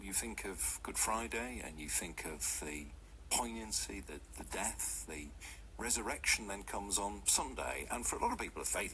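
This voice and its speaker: Bright-toned radio presenter, a man. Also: fairly low pitch, a little hiss or hum, poor audio. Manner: conversational, leading questions. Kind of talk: live radio interviewing. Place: radio studio.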